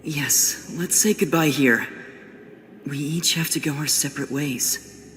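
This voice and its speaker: A man playing an earnest, solemn young summoner in an audio drama, hushed, breathy and grave. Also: fairly high pitch, measured pace. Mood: resigned, solemn. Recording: long hall reverb, faint noise.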